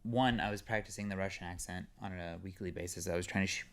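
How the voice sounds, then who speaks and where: Laid-back young man with a smooth voice. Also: low voice, fast talker. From California. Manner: relaxed, rambling with many fillers. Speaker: actor guest. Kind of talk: casual interview chat.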